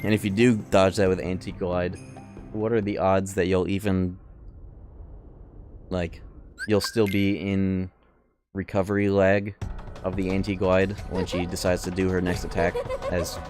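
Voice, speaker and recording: A man's voice: sits low, flat delivery, a little slow, a little mellow. A man streaming a game, thinking aloud in casual, analytical commentary with slangy swearing. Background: music behind the voice.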